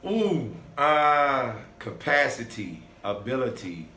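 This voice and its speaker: A man appearing as a guest, answering slowly and hesitantly, taking his time between words. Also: medium pitch, sing-song, bright, forceful tone, roomy sound. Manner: slow and hesitant. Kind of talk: thoughtful answer.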